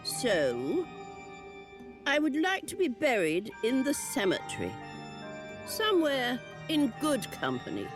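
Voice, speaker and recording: A woman's voice: high-pitched, huge pitch swings, full character voice. A woman voicing the lonely, wry ghost of a witch burned at the stake, in a game cutscene. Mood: wistful. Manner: slow, drawling, sly asides. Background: game music.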